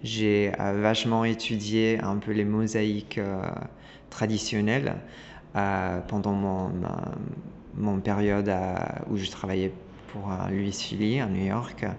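A man talks in a low, gravelly voice. He restarts his sentences, and he stalls on fillers. The recording sounds dull and lo-fi, with a long reverb trailing behind him. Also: flat delivery, a little slow, darkish tone, slight background noise. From Italy. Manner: hesitant, many restarts and fillers. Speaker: guest designer. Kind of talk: casual interview talk.

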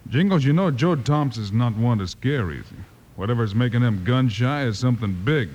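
Animated, steady cowboy marshal hero. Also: male voice, medium pitch, moderate pace, slightly rough, lo-fi sound. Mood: calm, concerned. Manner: calm, take-charge, folksy. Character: steady, brave, easygoing cowboy lawman hero. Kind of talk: 1950s Western radio acting. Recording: slightly noisy old radio recording.

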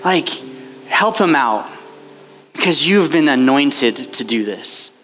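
A man's voice: mid-range voice, animated, slow. A man preaching as a priest to a retreat crowd, impassioned and chatty, with many fillers. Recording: long hall reverb, faint background noise.

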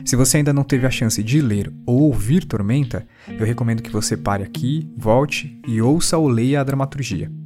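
A man's voice: mid-range voice, normal speed, few pauses, music in the background. A Brazilian man hosting a podcast, introducing and interviewing in a conversational way.

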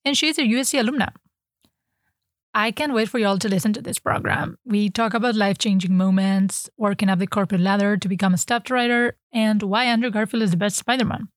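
A woman hosting an interview podcast, reading a scripted intro and asking questions in a measured way. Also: mid-range voice, steady tone, no room sound, studio quality. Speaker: podcast host.

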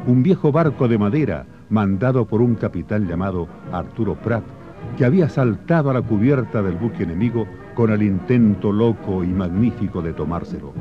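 A man playing a solemn storyteller, narrating patriotic history and memories in a measured, literary radio drama style. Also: on the low side, close to an everyday voice. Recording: muffled radio broadcast, faint music behind.

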